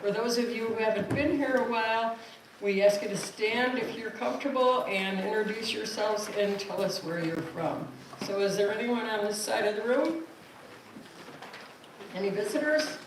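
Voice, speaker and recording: Woman host, warm congregational welcome, far-off mic with room noise. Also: a little high, moderate pace. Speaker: service host welcoming visitors. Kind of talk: friendly congregational announcements. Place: church sanctuary. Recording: echoey sanctuary, distant and noisy.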